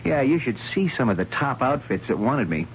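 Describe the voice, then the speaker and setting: Cocky young man, breezy boss's son, smug, radio drama, wide pitch swings, dull noisy old broadcast. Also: big pitch swings, brisk. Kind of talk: 1970s radio drama acting.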